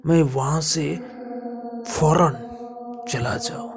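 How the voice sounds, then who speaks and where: A man narrating a first-person horror story dramatically, in halting short phrases that sometimes drop to a hush. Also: a little high, lively intonation, a crowd in the background. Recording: music bed behind the voice.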